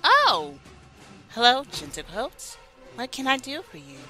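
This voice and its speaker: Female pony nurse receptionist woman acting: highish, animated, courteous but firm, audio-story delivery. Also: soft background music, exaggerated voice, poor audio. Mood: polite surprise. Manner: polite, measured.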